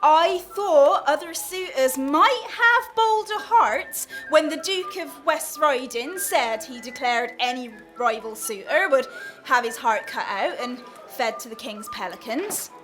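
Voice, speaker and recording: An actress playing a scheming, deferential maidservant who speaks in flowery, coy period language in a comic radio play. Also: female voice, sits high, expressive, a bit bright, heavily acted, poor audio. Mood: careful, conspiratorial. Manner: deliberate, ornate, coyly deferential. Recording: studio radio play with music.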